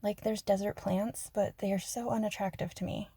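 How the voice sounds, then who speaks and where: A woman from Arizona chatting softly and rambling in a half-whispered ASMR style, joking about herself. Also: mid-range voice, steady tone, moderate pace, breathy edge, dry recording. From Arizona, USA. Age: adult. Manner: soft, half-whispered, self-deprecating, giggly. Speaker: ASMR creator. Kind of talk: soft-spoken rambling ASMR chat.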